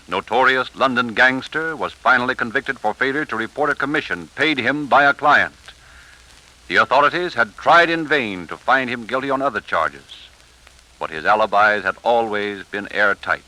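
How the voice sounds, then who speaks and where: A man's voice: medium pitch, bright, forceful tone. A man delivering dramatic scripted narration in the style of a 1930s radio programme. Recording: scratchy 1930s radio broadcast.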